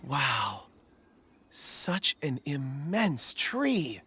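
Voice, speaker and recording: A woman voicing a soft-spoken, reflective young woman in a video game, speaking slowly and gently, with sighs. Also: extremely low pitch, big pitch swings, breathy edge, heavily acted. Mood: thoughtful. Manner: slow, gentle, sighing.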